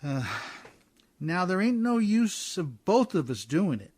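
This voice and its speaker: A man's voice: high voice, very animated, measured pace, a little mellow, slightly rough, full character voice. A man playing a scrappy, good-hearted riverboat captain in an old-time radio drama, with folksy, ungrammatical working-man speech. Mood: firm, coaxing.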